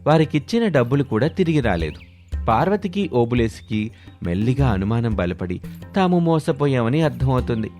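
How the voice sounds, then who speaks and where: A man's voice: medium pitch, big pitch swings, normal speed, darkish tone. A man narrating a scripted moral tale in a storytelling style. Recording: music under the voice.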